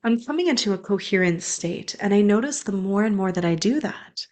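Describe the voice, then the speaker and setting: A woman's voice: medium pitch, lively intonation, fairly quick, darkish tone. A young woman, a spiritual coach, speaking in an earnest, flowing, affirming way in an inspirational monologue.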